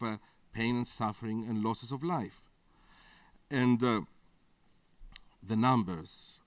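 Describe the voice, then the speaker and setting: A man whose native language is Greek, giving a measured interview statement with pauses and fillers at a conference. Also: medium pitch, no echo, recorded from a distance. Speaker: conference speaker.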